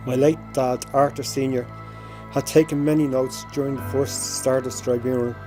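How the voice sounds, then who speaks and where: A middle-aged man with a Dublin accent reads a prepared tribute. His voice sits at a medium pitch, measured and formal, with music clearly playing behind it. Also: dark tone. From Ireland. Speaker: bereaved brother giving a tribute. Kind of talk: reading a prepared memorial statement.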